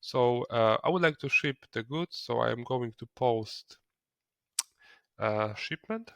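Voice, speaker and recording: Guy doing a software tutorial, kinda hesitant, repeats words, medium pitch, dry sound. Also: lively intonation, measured pace, gravelly, no room sound. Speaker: software trainer. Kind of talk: screen-recorded software tutorial, explaining step by step.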